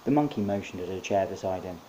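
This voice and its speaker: Mellow, low-voiced male storyteller. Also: lively intonation, fast, low-quality recording. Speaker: author reading own short story. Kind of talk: scripted story narration.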